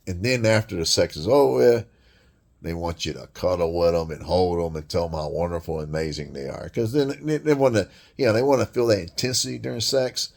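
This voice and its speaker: Gravelly deep-voiced man ranting. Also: fairly quick, a little mellow. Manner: blunt and crude, heavy swearing, rambling restarts. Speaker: vlogger. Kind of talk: profane monologue rant.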